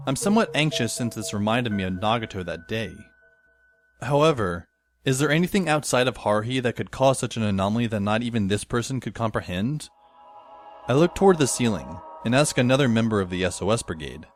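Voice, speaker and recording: A teenage schoolboy played by a man narrates an audio drama in a lively, varied voice. He is wry and brooding, with dry sardonic asides, over faint music. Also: mid-range voice, brisk, lightly acted. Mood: uneasy, questioning. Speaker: lead actor and narrator. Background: faint background music.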